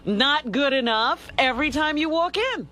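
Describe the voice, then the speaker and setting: A woman's voice: sits high, animated, normal speed, pushed and bright. A woman with an American accent co-hosting talk radio, frank and pointed in casual unscripted banter. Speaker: radio show co-host.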